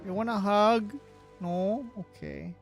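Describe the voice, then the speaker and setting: A man giving casual livestream game commentary in a halting, joking way, often repeating his words. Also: high-pitched, steady tone. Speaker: live streamer. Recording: game music behind the voice.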